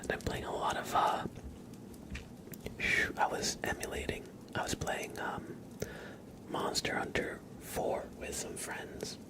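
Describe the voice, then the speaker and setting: A man speaking in a soft, breathy whisper, chatting casually with viewers as an ASMR livestream host. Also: takes it slowly, poor audio.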